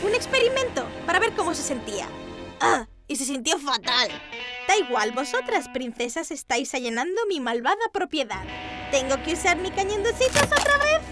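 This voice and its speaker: Haughty wicked cartoon girl villain, mocking, theatrical, high swooping voice, Spain Spanish accent, music underneath. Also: big pitch swings, moderate pace. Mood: haughty, threatening. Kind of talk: animated children's cartoon dub.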